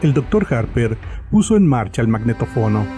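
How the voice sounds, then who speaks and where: A man's voice: mid-range voice, big pitch swings, normal speed. A man narrating a scripted horror story as the narrator of an audio drama. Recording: music under the voice.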